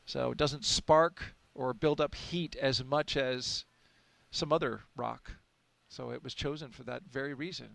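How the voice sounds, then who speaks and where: Gravelly guy doing live launch commentary, chatty and excited, dry mic. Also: mid-range voice, a little slow, no echo. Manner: chatty, enthusiastic, with frequent fillers.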